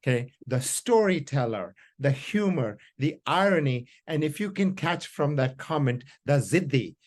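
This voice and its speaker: A middle-aged man from India, whose first language is Hindi, speaks in a fairly high voice. He tells stories in a reflective way and often pauses with fillers. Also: lively intonation, darkish tone. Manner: reflective, storytelling, frequent fillers. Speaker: panel discussant. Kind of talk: academic panel remarks.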